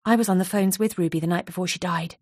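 A woman's voice: on the low side, steady tone, quick pace, a little mellow, no room sound, studio quality, close to an everyday voice. A woman voicing a nervous, chatty female office worker who confides eagerly and a little breathlessly. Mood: confiding.

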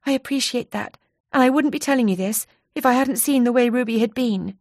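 Woman reading a nervous chatty office worker, higher pitch, breathless, confiding, dry sound. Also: a little fast, darkish tone, no room sound.

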